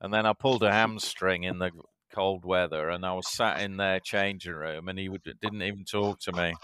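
A man in his fifties from Yorkshire, a guest telling anecdotes in a halting way, with many fillers. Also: low voice, fairly even intonation, fairly bright tone, clear, resonant tone, no room sound, studio quality. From Yorkshire, England. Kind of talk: casual interview chat.

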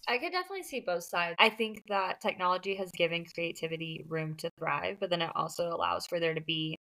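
Lower-pitched woman, conversational. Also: expressive, fast, sharp tone, poor audio. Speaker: book podcast co-host. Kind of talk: casual book discussion.

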